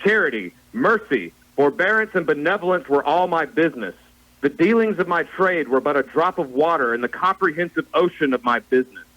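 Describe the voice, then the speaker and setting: A man's voice: fairly high pitch, animated, a little fast, a bit bright, dull audio. A man playing the mournful ghost of a dead business partner in a radio play, solemn and declamatory. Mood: anguished regret. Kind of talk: theatrical radio play acting.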